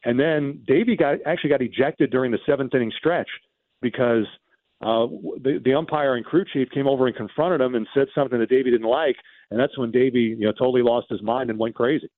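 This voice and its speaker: A man's voice: mid-range voice, fairly quick, no echo, narrow, tinny audio. A man talking off the cuff as a sports radio commentator, recounting games in emphatic detail and often repeating a phrase for stress. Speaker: radio baseball commentator and guest.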